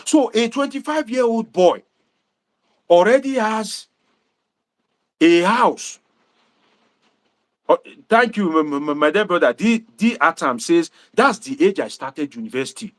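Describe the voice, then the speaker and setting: A Nigerian man hosting a livestream, talking to viewers in a preachy, animated way and dropping Pidgin asides. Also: sits high, a little slow, long pauses. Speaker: livestream host and political commentator.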